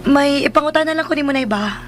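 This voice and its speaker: A woman's voice: sits high, fast talker, fairly bright tone, a little hiss or hum. A woman playing a caring, puzzled younger woman in a radio drama, hesitant and confiding as she speaks. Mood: gentle, hesitant.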